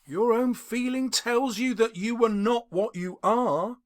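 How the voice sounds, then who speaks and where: A man voicing a gentle, sorrowful but resolute young woman in an audiobook of a classic novel. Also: medium pitch, measured pace, voice pushed up, close to an everyday voice. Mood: sorrowful, firm.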